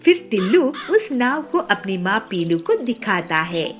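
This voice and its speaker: A woman's voice: medium pitch, very animated, measured pace. A woman giving scripted narration of a children's story as a storyteller. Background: music.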